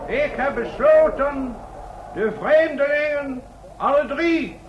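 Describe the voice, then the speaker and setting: Slow, high-pitched pompous town ruler. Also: male voice. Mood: pompous, solemn. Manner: pompous, ceremonious, orating. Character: pompous town ruler sentencing strangers to burn. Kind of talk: radio play acting, formal public proclamation. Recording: noisy, dull old radio recording, distant echo.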